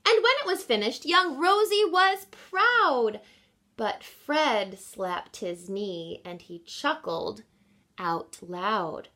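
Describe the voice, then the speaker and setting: A woman reads a storybook to children like an encouraging teacher. Her voice is fairly high and swings widely in pitch. She takes her time, leaning deliberately on key words and speaking directly to her young listeners. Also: big pitch swings, pushed and bright. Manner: encouraging, addresses children with questions and explanations. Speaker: reading teacher reading a picture book aloud. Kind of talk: expressive children's read-aloud with teaching asides.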